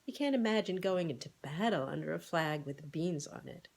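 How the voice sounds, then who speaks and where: Woman reading as male farm animal, practical, matter-of-fact, talkative, lowish pitch, storybook character. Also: animated, moderate pace, gravelly, a little mellow, heavily acted. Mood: sheepish.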